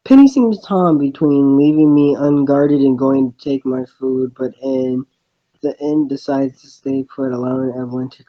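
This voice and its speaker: A man's voice: medium pitch, normal speed, highs cut off, natural voice. A man playing a wry, bemused young student who narrates his thoughts in a dry, sardonic way, in a visual novel. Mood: narrating.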